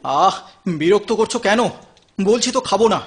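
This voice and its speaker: Husky high male voice, actor playing tormented addicted painter, tense edge, halting theatrical delivery. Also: animated, exaggerated voice. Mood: irritable defiance. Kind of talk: audio drama acting.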